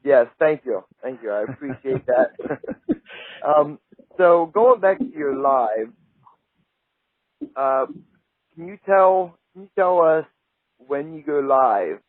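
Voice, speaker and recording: A man's voice: fairly high pitch, lively intonation, slow pace, long pauses, dry recording. A male radio host chatting casually with a guest, friendly and full of praise. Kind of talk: casual interview chat. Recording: tinny phone-like line, dry.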